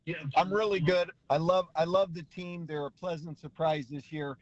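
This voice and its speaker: The American man in his sixties you'd hear telling an old story on a call-in show, fairly high voice, slightly dulled by the phone. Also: steady tone, normal speed, no room sound, muffled recording. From California, USA. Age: 60s. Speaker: radio show caller. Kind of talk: anecdotal storytelling.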